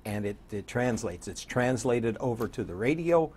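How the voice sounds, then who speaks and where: An older man around seventy, a radio DJ chatting as an interview guest in a folksy, wry way with a husky voice. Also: mid-range voice, lively intonation, normal speed, darkish tone, a little hiss or hum. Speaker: guest, radio program director and DJ. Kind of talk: casual interview chat. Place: TV studio set, seated.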